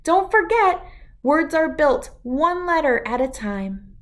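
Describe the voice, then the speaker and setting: A cheerful little bird schoolteacher from a children's storybook, acted out by a woman. She is chirpy and emphatic, with a high, widely swinging pitch. Every phrase is eager and theatrical. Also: very animated, takes it slowly, exaggerated voice. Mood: cheerful, encouraging. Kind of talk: children's storybook read-aloud.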